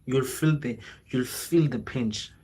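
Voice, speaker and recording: A man's voice: mid-range voice, takes it slowly, dark tone, slightly rough. A young South African man talking informally on a livestream, using plenty of slang, repeating phrases for emphasis and slipping into local languages. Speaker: livestreamer, content creator. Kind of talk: casual livestream chat, motivational rambling.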